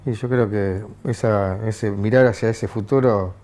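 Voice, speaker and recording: A man with an Argentine accent, a journalist guest on a TV political panel who talks in halting phrases with frequent restarts and fillers. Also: on the low side, steady tone, darkish tone. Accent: Argentine Spanish. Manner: hesitant, many restarts and fillers. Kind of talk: TV studio political discussion. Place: TV studio.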